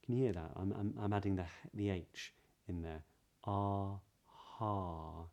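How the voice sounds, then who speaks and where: A man with a southern British English accent giving a pronunciation lesson as a voice coach, explaining clearly and modelling sounds. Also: deep voice, fairly even intonation, slow pace, a little mellow. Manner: clear, demonstrative, breathy example sounds.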